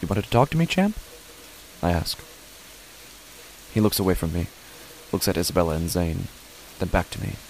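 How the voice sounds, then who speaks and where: A man playing an uneasy father who narrates his own horror story in a deliberate, measured way with dramatic pauses. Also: sits low, big pitch swings, a little mellow, a little hiss or hum, rough recording. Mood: grave, uneasy. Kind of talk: scripted first-person horror narration.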